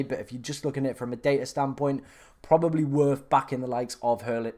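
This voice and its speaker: Young english guy on a live stream, chatty, lots of likes and ums, mid pitch. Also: warm tone. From England. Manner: chatty, filler-heavy, restarts, reads viewer questions aloud. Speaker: fantasy football streamer answering live chat. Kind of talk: casual live-stream chat.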